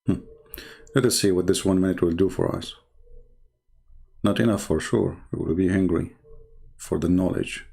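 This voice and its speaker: Gravelly-voiced man, Arabic native speaker. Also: low voice, normal speed, pauses often, dark tone. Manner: mocking, sarcastic questioning. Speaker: live-stream host. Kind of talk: casual commentary on played clips.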